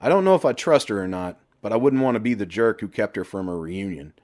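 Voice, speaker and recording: Man reading as a troubled low-voiced middle-aged husband. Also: big pitch swings, fast talker, darkish tone. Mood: tentative. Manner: earnest, exasperated.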